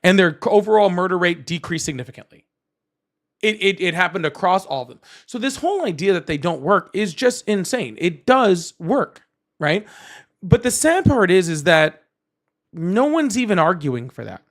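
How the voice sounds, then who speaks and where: A man's voice: sits high, big pitch swings, moderate pace. A man hosting a live political call-in stream, arguing his point in casual debate and tagging "right?" and "okay?" onto his sentences. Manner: argumentative, keeps adding "right?" and "okay?".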